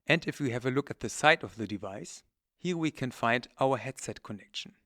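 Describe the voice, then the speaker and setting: A man's voice: mid-range voice, animated, a little fast, bright, forceful tone, no room sound, clean studio sound. A man presenting technical product training in a methodical, matter-of-fact, instructional way. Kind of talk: instructional presentation, scripted narration.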